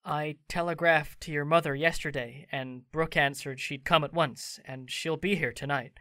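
A woman acting a gentle young man who reassures his friend. The voice is pitched low but bright and forward, recorded dry and close. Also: fairly even intonation, normal speed, no room sound. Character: Laurie, kind young man.

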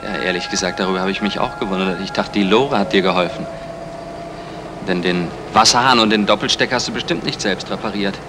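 An actor playing a calm, dry husband in a radio crime comedy, with a measured and polite delivery. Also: male voice, sits low, over music, natural voice. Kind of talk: 1960s German radio play acting.

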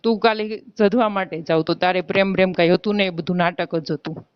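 A woman's voice: lowish voice, fairly even intonation, fast, clean tone, dry recording, lightly acted. A woman playing an aggrieved married village woman in a phone-call drama, with a Gujarati accent, insistently accusing and threatening her lover. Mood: reproachful. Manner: insistent, reproachful, argumentative. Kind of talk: staged phone-call drama in colloquial speech. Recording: dull, lo-fi phone call recording.

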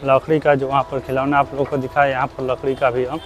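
A young man from Bihar walks through an outdoor fair talking into a lapel mic. He narrates casually and repeats his points. His voice sits at a middle pitch, and his questions to vendors come out loud. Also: little pitch movement, fast talker, poor audio. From Bihar, India. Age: young adult. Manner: repetitive, asks vendors prices loudly. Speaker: vlogger. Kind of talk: walking market vlog narration. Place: outdoor crafts fair among stalls. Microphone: clip-on lapel mic.

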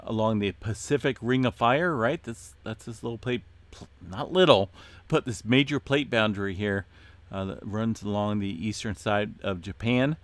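A man hosting an earthquake update, talking casually through his screen with many fillers and a voice going hoarse. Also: lowish voice, no room sound. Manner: relaxed, many "uh" fillers, addresses viewers as folks. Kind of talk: casual screen-share commentary.

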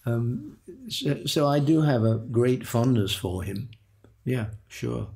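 Older male guest, gravelly and unhurried, stumbles and restarts, low voice. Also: animated, slight background noise. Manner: halting, repeats words, chuckles softly. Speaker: veteran voice actor guest. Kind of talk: relaxed reminiscing interview.

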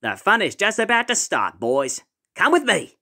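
A woman voicing gruff, theatrical male comic characters, bossy and easily exasperated. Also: mid-range voice, sing-song, moderate pace, pushed and bright, heavily acted. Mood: smug swagger. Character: gruff, exasperated father; swaggering teenage bully boy. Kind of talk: comic fandub acting.

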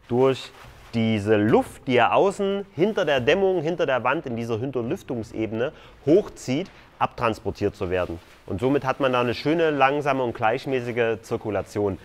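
Man casually explaining a build. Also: mid-range voice. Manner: explaining step by step, loose and conversational. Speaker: tiny house builder.

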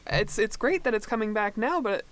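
A woman co-hosts a podcast in easy conversation. Her voice is smooth and clear, at a middle pitch. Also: animated, a little fast. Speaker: podcast co-host. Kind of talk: casual chat.

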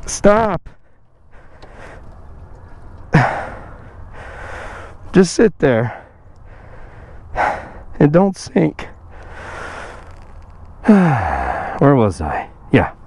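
A man chatting casually while riding his motorcycle, his voice a bit rough from recovering after losing it. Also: fairly high pitch, huge pitch swings, very slow, pauses often, darkish tone. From Texas. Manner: chatty, self-joking, sighs and laughs. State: recovering from lost voice. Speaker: motovlogger. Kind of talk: casual motorcycle vlog chat. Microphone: helmet-mounted action camera mic. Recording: outdoor wind and road noise.

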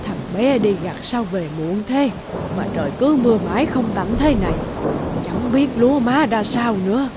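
A woman playing a high-voiced, fretful old grandmother. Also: animated, moderate pace, very noisy, natural voice. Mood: worried. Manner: fretful, gently chiding. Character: kindly, worrying old village grandmother. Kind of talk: animated folk tale dubbing.

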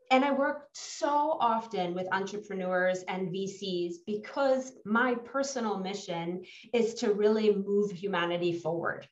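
A woman with a New York American accent, an executive coach presenting a webinar in an emphatic, self-assured way. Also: medium pitch. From New York, United States. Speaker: executive coach leading a workshop. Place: indoor room on a video call. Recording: dull, roomy, distant webinar audio.

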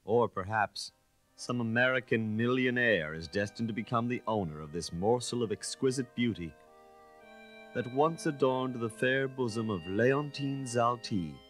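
A man voicing a suave, self-satisfied gentleman thief in an audiobook, speaking in a proud, grandiloquent manner. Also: mid-range voice, expressive, a little slow, soft background music. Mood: wistful. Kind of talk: audiobook character reading.

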